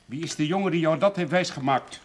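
A man's voice: fairly high pitch, a little fast, natural voice. An actor playing a proud, stubborn middle-aged brewer and father in a television drama, with a blunt, blustering manner. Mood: irritated. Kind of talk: television period drama acting. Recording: noisy old television soundtrack.

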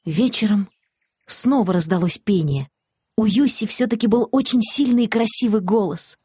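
A woman's voice: mid-range voice, normal speed, mellow, slightly rough, no room sound, studio quality. A woman narrating a children's story audiobook from a script.

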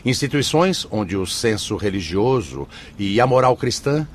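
Stately, expressive male spirit director. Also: on the low side, a little slow, slight background noise. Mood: decisive, approving. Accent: Brazilian Portuguese. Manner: formal, stately, declamatory. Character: male spirit institute director, solemn, benevolent authority. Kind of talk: Brazilian spiritist radio drama acting.

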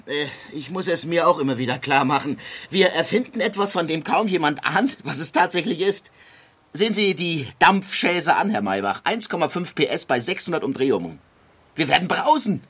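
Animated, eager inventor. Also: male voice, on the high side, moderate pace, husky. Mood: enthusiastic, visionary. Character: Gottlieb Daimler, eager, visionary male inventor-entrepreneur. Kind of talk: dramatized documentary radio play.

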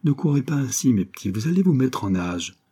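A man's voice: medium pitch, very animated, fairly quick, mellow, slightly rough, pitched above the performer's own voice. Man reading as an anxious, tender young mother. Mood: gentle scolding.

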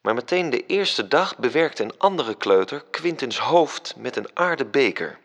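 A man's voice: mid-range voice, big pitch swings, measured pace, fairly bright tone, exaggerated voice. An actor narrating a radio drama as an angel telling a story to his superior. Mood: calm.

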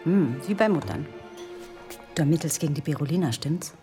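A woman's voice: deep voice, lively intonation, fairly quick, mellow, soft background music. An actress playing a frank, self-possessed, wry and teasing woman at police headquarters in a radio drama. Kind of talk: German radio drama acting.

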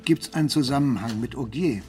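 A man's voice: mid-range voice, a little fast, a little mellow, natural voice. Man doing doubting cop sidekick, dry and down to earth, mid voice, music under it, radio thriller. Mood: sceptical, dry. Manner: dry, questioning, matter-of-fact. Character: sceptical, down-to-earth male police deputy. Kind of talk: radio crime drama acting.

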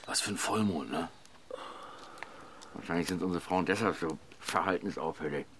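A man's voice: low voice, normal speed, fairly bright tone, a little hiss or hum. An actor playing a weary, talkative family father with a sardonic, colloquial manner in a naturalistic radio drama. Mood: weary, frustrated.

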